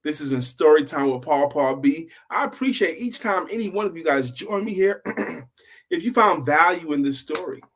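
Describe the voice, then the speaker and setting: A man with a slightly high voice works as a storyteller for online listeners. He speaks deliberately and with emphasis, repeating phrases to drive the tale home. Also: expressive, fairly bright tone. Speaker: storyteller and host of a story show. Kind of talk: motivational oral storytelling to online viewers.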